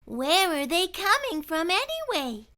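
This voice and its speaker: Woman as a storybook little girl, high voice, gentle, dreamy, soothing, lilting. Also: sing-song, a little slow, heavily acted. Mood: wondering. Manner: absent-minded, soothing. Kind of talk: storybook character voice.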